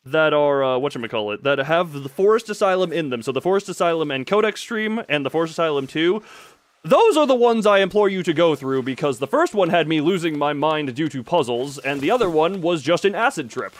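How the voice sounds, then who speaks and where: A man chatting casually on a gaming livestream, rambling and expressive with mildly crude exclamations. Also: a little high, no room sound. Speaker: live streamer.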